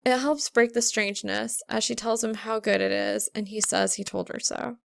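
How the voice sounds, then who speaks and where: Woman, fiction narration, audiobook reader, mid-range pitch, vocal fry, dry room sound. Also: fairly even intonation, normal speed, no echo.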